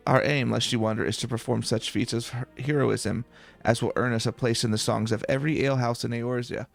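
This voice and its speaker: A proud adventurer leader, read out by a man speaks in formal, archaic fantasy-game lines. The voice is middling in pitch with a gravelly creak, and the delivery is earnest and grandiose over music. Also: steady tone, a little fast. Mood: earnest, lofty. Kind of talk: reading game dialogue aloud.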